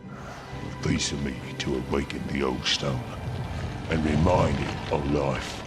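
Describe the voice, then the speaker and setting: Huge old stone golem, super deep n slow, sleepy solemn game character, music behind. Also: male voice, fairly even intonation. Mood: slow, puzzled. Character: ancient sleepy stone construct, huge and ponderous. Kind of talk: video game voice acting.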